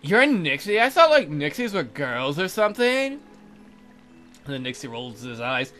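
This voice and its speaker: A man with a bright, animated voice narrates a visual novel over music. He keeps breaking off to mock it with crude jokes, as a let's play host. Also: on the high side, normal speed. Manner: crude, mocking humour.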